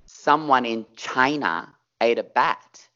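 A man's voice: medium pitch, animated, takes it slowly, pushed and bright, highs cut off. A middle-aged Australian man, a performer, talking reflectively in an interview and stretching words for emphasis.

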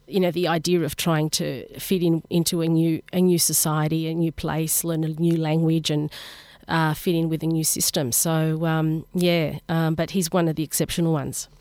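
A woman's voice: low voice, darkish tone, dry recording. A woman who teaches at a college, giving supportive, conversational answers as a studio interview guest.

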